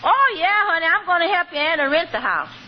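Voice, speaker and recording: An actress playing a good-natured, giggly maid with a coy, deferential manner in 1940s radio comedy. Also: female voice, very high voice, very animated, quick pace. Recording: dull, noisy 1940s radio recording.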